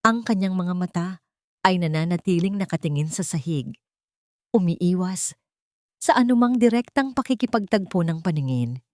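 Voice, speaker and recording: A woman's voice: on the low side, animated, normal speed, no echo, studio quality. A woman narrating a scripted audio drama in a dramatic storytelling style.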